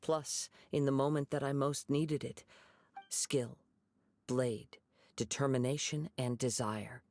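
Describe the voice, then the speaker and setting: A young wandering swordsman from a video game, principled and composed. His voice sits at a middle pitch, and his words come out measured and formal, with a reflective turn. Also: steady tone, darkish tone, dry recording. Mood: composed, solemn.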